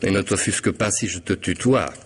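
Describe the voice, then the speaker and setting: An actor playing a vengeful, mocking killer in a French radio drama, theatrical and menacing, moving between hush and outburst. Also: male voice, low-pitched, normal speed. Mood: mocking, commanding. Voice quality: husky, gravelly voice. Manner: theatrical menace, swinging from hushed to loud.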